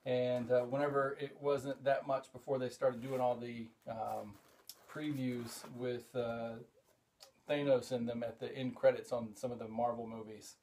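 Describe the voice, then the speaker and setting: A man's voice: mid-range voice, level tone, measured pace, darkish tone. A middle-aged man from the United States hosting a casual vlog, rambling enthusiastically with lots of "uh" fillers as he shows items from his collection, recorded by a camera a few feet away in a room full of collectibles. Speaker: comic collector and show host. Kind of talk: casual show-and-tell vlog.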